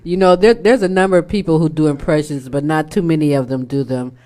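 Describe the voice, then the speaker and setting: A radio show host interviewing a guest casually, quick to laugh and react. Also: mid-range voice, fairly even intonation, warm tone. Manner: laughs easily, short reactions.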